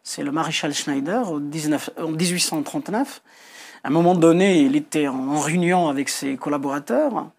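Gravelly man, Kabyle speaker. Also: on the high side, normal speed, a little mellow. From Algeria (Kabylia). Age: middle-aged. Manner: hesitant, repeats words while searching, emphatic on key points. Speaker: author, interview guest. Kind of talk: TV interview, discursive explanation. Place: indoor television studio.

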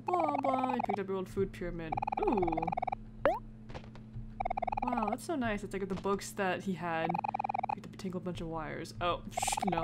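A woman giving casual, reactive let's play commentary, reading game text aloud and adding informal asides. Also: mid-range voice. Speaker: gamer narrating a playthrough. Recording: heavy background noise, clicks.

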